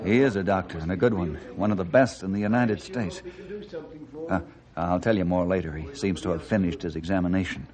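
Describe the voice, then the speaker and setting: An actor playing an earnest, forceful ranger in old-time radio drama style, insistent and declamatory. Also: male voice, sits low, steady tone, brisk, a crowd in the background, natural voice. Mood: firm, measured. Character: earnest forceful male ranger, urging and commanding.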